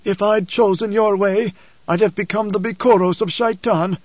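Man voicing an anguished, high-pitched old blind prophet. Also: steady tone, normal speed, darkish tone. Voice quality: husky, trembling. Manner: solemn, dramatic, formal speech. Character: anguished older blind father, weary desert prophet. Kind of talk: audiobook character acting. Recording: dull cassette audiobook, roomy echo.

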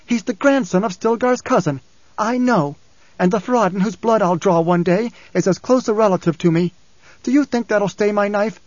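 A man's voice: sits high, moderate pace, darkish tone, dry recording, voice pushed up. A man voicing a venomous young girl. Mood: furious. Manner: cold, venomous, commanding. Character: fierce young girl with ancient memories. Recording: dull, muffled lo-fi audio.

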